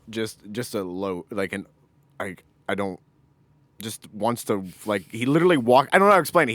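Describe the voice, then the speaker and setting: A man's voice: fairly low pitch, a bit bright, no room sound. A man co-hosting a podcast, chatting casually and trading banter. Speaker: podcast co-host. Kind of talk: casual chat.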